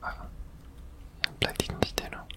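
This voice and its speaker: Hushed whispering ASMR gamer. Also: fast. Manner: murmuring running reactions to on-screen action. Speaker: gaming ASMR streamer. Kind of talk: whispered ASMR video game playthrough.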